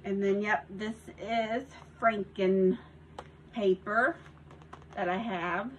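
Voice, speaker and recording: A woman's voice: medium pitch, steady tone, slow pace, muffled recording, slight background noise. A woman with a Southern accent chatting casually while showing off a handmade journal in her home craft room, rambling with restarts and little giggles. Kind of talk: casual show-and-tell vlog. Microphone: phone.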